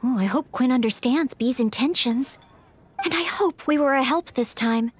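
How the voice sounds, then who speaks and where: An actress playing an earnest, polite young maid knight in an anime-style video game, hesitant and apologetic. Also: female voice, sits high, normal speed, a little hiss or hum, lightly acted.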